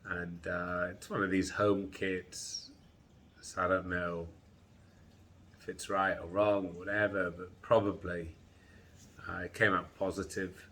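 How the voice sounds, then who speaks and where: A congested, middle-aged man talks slowly and falteringly, pausing often as he recounts being ill. His voice sits very low but has a bright edge. Faint noise hums behind him. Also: level tone. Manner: slow, halting, tired recounting. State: congested, groggy chest, sore throat. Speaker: vlogger. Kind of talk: personal health vlog.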